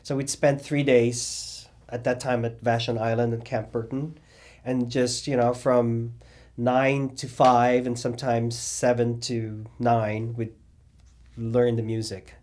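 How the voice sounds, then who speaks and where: Middle-aged man, animated storyteller, lots of fillers, mid-range voice. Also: medium pitch, slow pace. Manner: rambling, animated storytelling with many fillers and restarts. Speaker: interviewee, cabaret singer and drag performer. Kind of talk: oral history interview.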